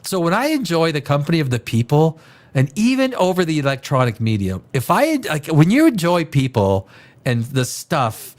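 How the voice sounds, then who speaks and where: A man's voice: a little high, huge pitch swings, normal speed. Stuffed-up, chatty livestream host man. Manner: rambling, chatty, restarts and repeats himself. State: stuffed up from a cold. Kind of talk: casual chat reading viewer comments.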